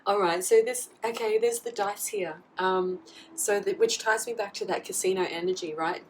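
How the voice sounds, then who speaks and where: Husky woman giving a chatty tarot reading. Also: mid-range voice, moderate pace. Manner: chatty, often checks in with "okay?". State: husky, getting over a flu. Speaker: tarot reader. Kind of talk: casual tarot reading to camera. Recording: slightly distant mic, faint background noise.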